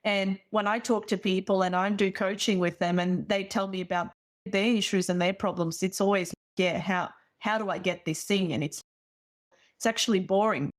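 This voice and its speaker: Woman, podcast host, coach, encouraging, reflective, medium pitch. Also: fairly even intonation, moderate pace. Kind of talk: casual interview chat.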